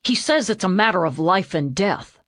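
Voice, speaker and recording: A woman's voice: lowish voice, lively intonation, moderate pace, no echo, studio quality. A woman voicing an earnest female police detective, the partner of her lieutenant.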